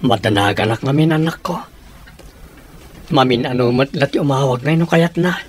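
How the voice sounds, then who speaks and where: A man's voice: medium pitch, moderate pace, breathy edge, natural voice. An actor with an Ilocano accent playing a worried, caring middle-aged father in a radio drama. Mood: fatherly, worried.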